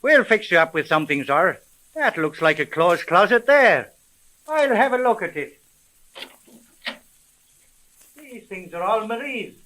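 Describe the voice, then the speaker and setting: A man playing a wisecracking, commanding homicide sergeant in a 1930s radio drama, with colloquial, brisk speech. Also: high-pitched, lively intonation, sharp tone, low-quality recording. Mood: practical. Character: gruff, wisecracking male police homicide sergeant.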